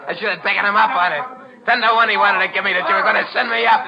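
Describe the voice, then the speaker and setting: An actor playing a cocky, edgy young small-time crook with slangy tough talk, in 1940s radio thriller style. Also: male voice, high voice, fairly even intonation, racing, a crowd in the background. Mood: gloating, excited. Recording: dull vintage radio recording.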